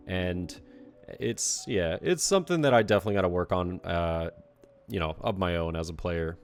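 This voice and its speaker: A young man with a deep, low-pitched voice that sounds stuffed up and congested. He talks through a card game in a loose, relaxed way, full of "you know" and "uh," and sometimes points out his own mistakes. Soft music plays quietly underneath. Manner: relaxed, filler-heavy, self-critical play-by-play. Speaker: card game player and commentator. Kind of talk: casual commentary over a recorded match.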